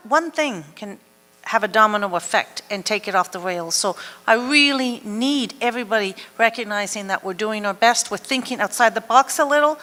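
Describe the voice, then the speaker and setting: A woman's voice: a little high, sharp tone. A woman giving a public address at a consultation meeting, determined and rallying support. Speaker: project speaker at a public consultation. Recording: reverberant hall.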